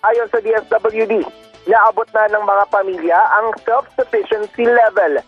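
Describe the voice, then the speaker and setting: A male radio reporter with a Filipino accent delivers a scripted report in a notably high, husky voice. The feed sounds dull and lo-fi, with faint music underneath. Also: steady tone, measured pace.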